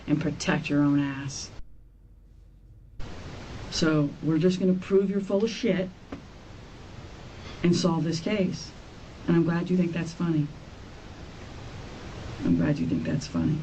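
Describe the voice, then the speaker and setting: A distant, noisy interview-room recording of a female detective questioning a suspect. Her voice is soft-edged and dark, while her words are stern, accusatory and repetitive. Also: low voice, muffled recording. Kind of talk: confrontational police interrogation. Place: small indoor police interrogation room.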